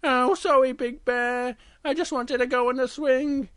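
A storyteller voicing a small, eager and stubborn young male bear cub, excitable and pleading, in a children's story. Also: sits high, unhurried, clear, resonant tone, no echo, pitched above the performer's own voice. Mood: apologetic.

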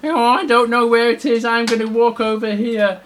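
Man putting on an eager high-pitched little bear cub. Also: steady tone, moderate pace, fairly bright tone, slight background noise. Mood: keen. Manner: childlike, pleading. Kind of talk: homemade children's story voice.

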